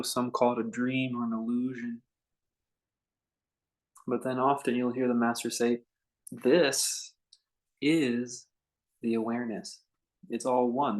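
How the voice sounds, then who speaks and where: A man gives a guided meditation talk in a medium-pitched voice with a noticeable creak. He teaches contemplatively and without hurry, breaking his thoughts into short phrases with long pauses between them.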